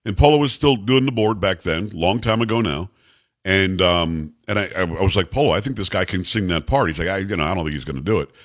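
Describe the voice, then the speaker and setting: Male talk radio host, deep bass voice, loud and upbeat, dry studio sound. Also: quick pace, no echo. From New York. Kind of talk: energetic talk radio monologue.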